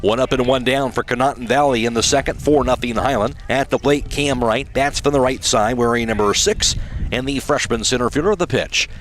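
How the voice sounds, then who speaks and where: An American man calls a baseball game live for radio in a mid-pitched voice. He works as the play-by-play announcer, and clear outdoor ballpark noise sits behind him. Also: a little fast, a bit bright.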